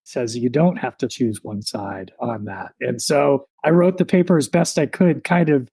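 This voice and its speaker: Man, thirties, podcast guest, mellow tone, dry close sound, rambling storyteller. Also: mid-range voice, moderate pace, gravelly, slightly rough, no echo. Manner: relaxed, reflective, rambling with many fillers.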